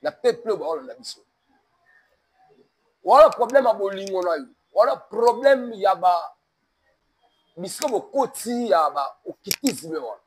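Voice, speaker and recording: Congolese pastor, high voice, emphatic sermon cadence. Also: male voice, big pitch swings, measured pace, long pauses, slightly rough, low-quality recording. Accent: Congolese French. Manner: emphatic, mixes French and Lingala, sermon cadence. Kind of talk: preaching-toned interview talk.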